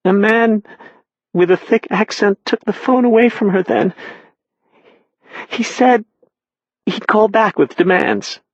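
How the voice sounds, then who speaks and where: A man's voice: high voice, no room sound, pitched above the performer's own voice, exaggerated voice. A man voicing a tearful, shaken woman. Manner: tearful, halting. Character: grieving, shaken middle-aged woman. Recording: slightly dull, dry sound.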